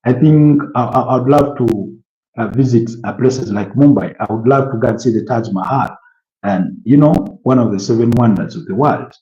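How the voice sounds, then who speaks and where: A man's voice: medium pitch, mellow, reverberant, highs cut off. A man from Uganda hosting a live stream, chatting casually and answering viewer comments with many restarts and fillers. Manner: halting, repeats words, many fillers.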